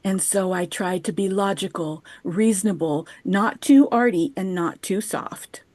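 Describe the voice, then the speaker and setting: A woman in her fifties giving a measured, poetic chapel reflection over a video call. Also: medium pitch, darkish tone, no room sound. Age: 50s. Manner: measured, poetic, unhurried delivery. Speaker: chapel speaker. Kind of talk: reflective personal chapel talk, read aloud. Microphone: video call microphone.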